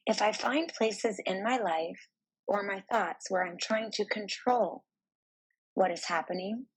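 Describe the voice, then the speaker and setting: Slow, breathy woman guiding meditation. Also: mid-range voice, rough recording. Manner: slow, deliberate, soothing delivery with pauses. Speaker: meditation guide. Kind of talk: guided meditation narration.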